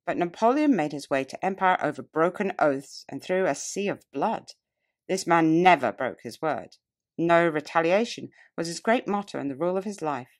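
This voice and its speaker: Lower-pitched woman reading an audiobook. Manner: deliberate, emphatic oratorical reading. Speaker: audiobook reader. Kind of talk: scripted narration of a public-speaking textbook.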